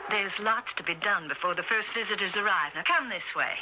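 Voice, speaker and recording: An actress playing a businesslike, chatty castle tour guide in old-time radio drama. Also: female voice, mid-range voice, a little fast, natural voice. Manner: matter-of-fact, chatty. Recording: old radio broadcast, faint noise.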